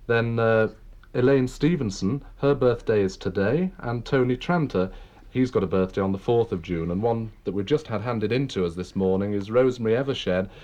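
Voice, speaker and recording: A man hosting a radio show, his voice low and mellow. He chats briskly with a guest and runs through listeners' birthdays. The sound is dull and muffled, like an old reel-to-reel tape. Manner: brisk, chatty presenter reading out birthday lists. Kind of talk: radio interview and announcements.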